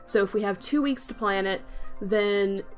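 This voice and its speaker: A woman's voice: mid-range voice, moderate pace, soft background music. A woman co-hosting a podcast in casual, conversational talk. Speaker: podcast co-host.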